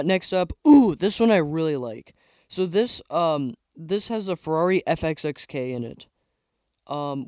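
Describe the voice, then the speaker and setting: Young male vlogger, rambly casual commentary, clear voice with a slight hoarseness. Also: a little high, a little mellow, dry recording. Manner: rambling, filler-heavy, trailing off. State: losing his voice. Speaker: YouTuber ranking toy sets. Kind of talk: casual tier-list commentary.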